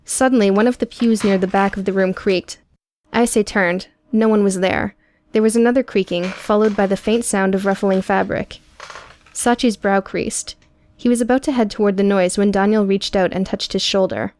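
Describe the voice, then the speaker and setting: A woman reading scripted audiobook prose in a measured, dramatic storytelling manner. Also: mid-range voice, fairly even intonation, a little mellow. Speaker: audiobook narrator.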